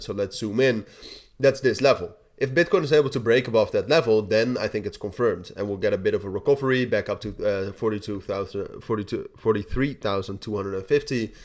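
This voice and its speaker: A young man with a stuffy, nasal voice hosting a casual crypto update, rambling with many restarts and "all right?" checks. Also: lowish voice. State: blocked nose. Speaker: crypto channel host. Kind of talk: casual market update to camera.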